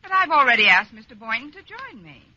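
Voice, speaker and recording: Bright, wry radio-sitcom schoolteacher. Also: female voice, high voice, big pitch swings, fast talker, heavily acted. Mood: fretful. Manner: dry, sardonic. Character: wry, exasperated single schoolteacher woman. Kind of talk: 1940s-50s radio sitcom acting. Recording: dull, noisy old-time radio recording.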